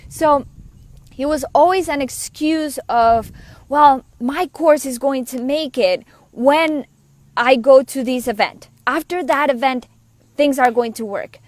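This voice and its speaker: A woman from Colombia with a Colombian accent speaks in a fairly high voice, earnest and chatty as she coaches. The sound is dry and close, with no room around it. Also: dry recording. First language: Spanish. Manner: earnest, conversational, quick asides. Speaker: business coach. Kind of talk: personal story monologue.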